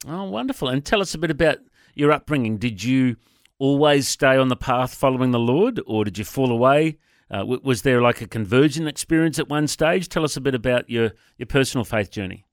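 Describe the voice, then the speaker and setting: A man's voice: mid-range voice, expressive, a little fast, dry recording, studio quality. Man hosting a radio interview. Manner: prompting with short, simple questions. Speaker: radio show host.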